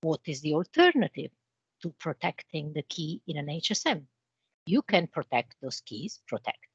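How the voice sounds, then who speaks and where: A woman with an Italian accent, a guest in a business interview, explaining with homely comparisons in a measured way. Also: low voice, big pitch swings, breathy edge, no echo, lo-fi sound. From Italy. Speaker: interview guest, channel manager.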